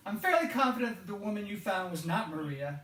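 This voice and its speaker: A man's voice: high-pitched, animated, fast talker, a bit bright, a little hiss or hum. Guarded fake policeman, distant and echoey. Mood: guarded, warning. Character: calm, guarded, quietly menacing man posing as state police officer. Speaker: actor in an audio drama. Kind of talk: naturalistic radio drama dialogue. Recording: roomy, echoing, off-mic sound.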